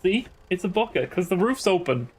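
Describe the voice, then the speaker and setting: A man's voice: high voice, sing-song, fairly quick, slight background noise. A man chatting casually as he plays a video game, joking and swearing freely. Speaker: gaming video host. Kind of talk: casual let's play chat.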